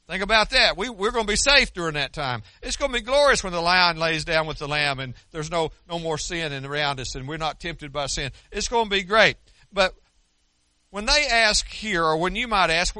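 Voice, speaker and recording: A man's voice: high-pitched, sharp tone, no echo. An older American man preaching a sermon in an earnest, conversational way, often restarting his sentences. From United States. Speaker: pastor.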